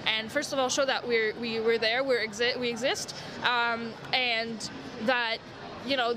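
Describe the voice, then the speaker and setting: A woman from Montreal whose first language is French, speaking as a guest in a convention interview, earnest and full of fillers. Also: a little high. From Montreal, Canada. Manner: many fillers, earnest and eager. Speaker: guest from a gaming organization. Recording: crowd noise behind.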